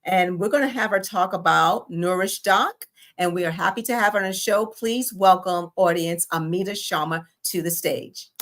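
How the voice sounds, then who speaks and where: A woman's voice: on the low side, a bit bright. A woman co-host of a talk show reading out a prepared guest introduction. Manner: measured, scripted delivery.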